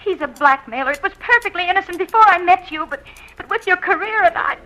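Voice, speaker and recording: A woman's voice: very high voice, expressive, quick pace, bright, forceful tone, full character voice, poor audio. An actress playing an anxious, secretive young woman in love, who talks in a breathless, stammering way in 1940s radio acting style. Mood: anxious, pleading.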